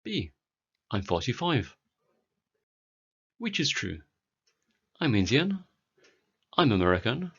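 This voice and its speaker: A British man in his forties teaching a beginner English lesson, speaking clearly and deliberately for learners. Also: medium pitch, very animated, a bit bright, lo-fi sound. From Britain. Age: 40s.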